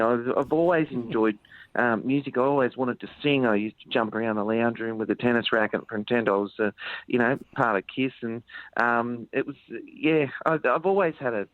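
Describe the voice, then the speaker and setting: A man's voice: medium pitch, no echo. Australian man on a tinny phone line. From Sydney, Australia. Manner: rambling, hesitant, lots of ums. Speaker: country musician guest. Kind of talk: phone-in radio interview.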